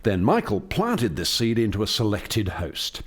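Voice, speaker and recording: A man's voice: lowish voice, wildly swooping pitch, unhurried, husky, heavily acted. A man playing an earnest, reflective alien scientist in a radio play, explaining things in formal words. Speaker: lead scientist.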